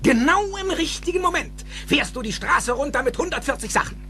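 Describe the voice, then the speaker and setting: An actor playing an excitable, eccentric older male inventor who explains things theatrically and emphatically in a dubbed film drama. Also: very high voice, a little fast, soft background music, full character voice. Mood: excited explaining. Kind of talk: dubbed film acting in a German audio drama.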